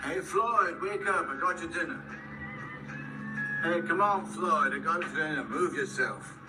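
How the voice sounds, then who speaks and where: A man's voice: fairly high pitch, unhurried, over loud music, lightly acted. A male actor playing an impatient, plain-spoken small-town jail deputy in a radio drama. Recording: distant radio playback, loud sound behind.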